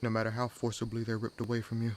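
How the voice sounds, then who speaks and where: A man playing a centuries-old, wry and world-weary vampire in an audio roleplay, musing dryly to his companion with unhurried pauses. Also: mid-range voice, completely flat delivery, natural voice. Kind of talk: audio roleplay acting addressed to the listener. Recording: clear background hiss and night ambience. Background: added forest ambience with crickets and insects.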